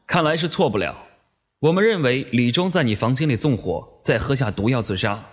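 A slightly dull recording carries a man acting a methodical police detective in an audio drama. His mid-pitched male voice rises and falls a lot as he presses through an interrogation in a firm, businesslike way. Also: lively intonation, a little fast, breathy edge. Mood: explanatory, pressing. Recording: slightly dull, lo-fi microphone sound.

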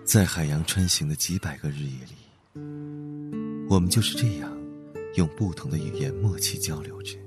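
A man playing a gentle, lonely old blue whale in a radio drama, speaking tenderly and wistfully in a soothing, unhurried voice. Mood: quiet, reflective. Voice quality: soothing, tingling low voice. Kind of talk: radio drama inner monologue. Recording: background music under the voice. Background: gentle guitar music.

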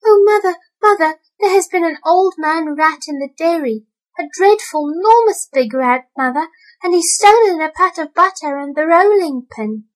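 Woman doing a breathless squeaky girl kitten. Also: animated, moderate pace, poor audio. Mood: alarmed. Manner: high breathless crying out. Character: young excited female kitten, breathless.

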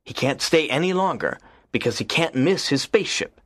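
An actor playing a lonely, earnest teenage schoolboy in an audiobook, talking in a soft, low, pleading way. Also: male voice, lively intonation, normal speed, no echo, clean studio sound. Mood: calm, planning. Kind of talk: audiobook character reading.

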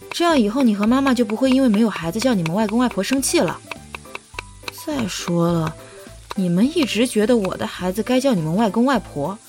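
A woman's voice: medium pitch, darkish tone, lightly acted. A woman playing a playful, cheeky young adult who is devoted and tender, in a modern Chinese audio drama. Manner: playful, coaxing.

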